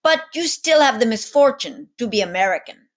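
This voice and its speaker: A woman's voice: a little high, expressive, normal speed, voice pushed up, lightly acted. A woman voicing a tall, proud male ship captain who talks in a grand, bookish way. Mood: grave.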